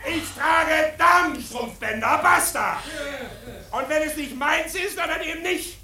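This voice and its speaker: A man's voice: very high voice, expressive, measured pace, exaggerated voice. A man playing a drunken, jealous, belligerent bridegroom in a German radio play, blustering and repeating himself insistently. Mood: drunken outrage. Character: drunken, belligerent, jealous male bridegroom, loud bully in a tavern. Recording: roomy sound with crowd behind. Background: crowd noise.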